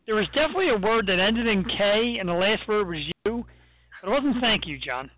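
A man co-hosting a radio talk show, bantering and teasing his co-host in a joking, animated way. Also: sits high, fairly quick, no echo. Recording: dry, phone-like narrow sound.

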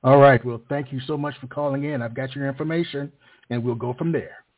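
Male radio host, middle pitch, polite, phone-like band-limited audio. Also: steady tone, a little fast. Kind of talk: radio interview hosting. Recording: phone-like narrow sound.

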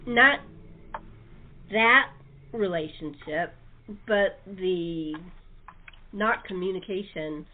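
A woman's voice: lowish voice, slight background noise. A woman hosting a casual panel chat, talking informally and in a rambling way as she prompts others. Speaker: host and radio-play producer. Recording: phone-like narrow-band sound.

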